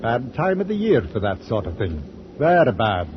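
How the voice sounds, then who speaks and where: A man's voice: medium pitch, sing-song, moderate pace, lightly acted. Man acting a swooping, stolid old sea captain. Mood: matter-of-fact. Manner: clipped, musing, matter-of-fact. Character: stolid, literal-minded old sea captain, matter-of-fact. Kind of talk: old-time radio drama acting. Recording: muffled, noisy vintage radio recording.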